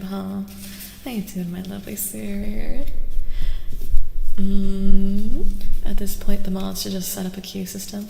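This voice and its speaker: A woman host chatting in a soft-spoken ASMR livestream, gentle and soothing, repeating phrases softly and often dropping to a breathy whisper. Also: mid-range voice, a little hiss or hum. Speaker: streamer and tarot reader host. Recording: roomy echo, long reverb, music. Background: clear background music.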